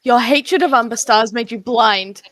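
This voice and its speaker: A woman's voice: on the high side, normal speed, a bit bright, dry recording, lightly acted. A woman voicing a proud, protective mother cat with an intense, hissing, emphatic manner in an animal roleplay story. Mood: angry, defiant.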